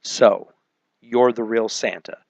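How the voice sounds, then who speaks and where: A man playing a terse, deadpan hard-boiled detective, narrating in a parody of an old police radio drama. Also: mid-range voice, slow pace, no room sound, rough recording.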